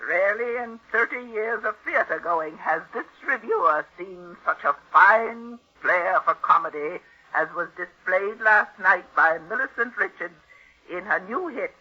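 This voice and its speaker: An actress playing an ailing, imperious older aunt who is secretly scheming, husky and deliberate, in 1940s radio style. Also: female voice, mid-range voice, animated, sharp tone. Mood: offended, haughty. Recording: muffled old radio recording.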